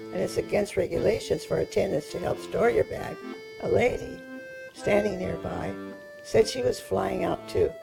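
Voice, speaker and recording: A woman in her eighties reading her own personal story aloud in a measured storytelling way. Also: mid-range voice, gravelly, rough recording. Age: 80s.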